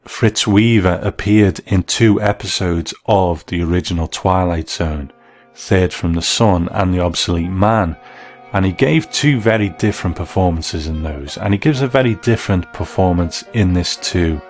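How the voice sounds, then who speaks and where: Low-voiced man reviewing over music. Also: normal speed. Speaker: presenter and reviewer. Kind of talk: scripted review narration. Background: background music.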